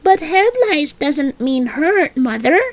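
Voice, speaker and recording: A woman's voice: sits high, expressive, voice pushed up. A woman voicing an eager, bratty little girl in a children's storybook, dramatic and pleading, with childlike emphasis. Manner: childlike, emphatic, drawn-out wishing and protesting. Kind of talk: animated children's storybook reading.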